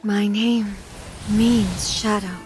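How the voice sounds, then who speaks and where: A woman voicing a solemn, regal female deity in a video game cutscene, speaking in a measured, formal and grave way. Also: medium pitch, steady tone, a little mellow. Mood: solemn, resolute. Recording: music behind the voice.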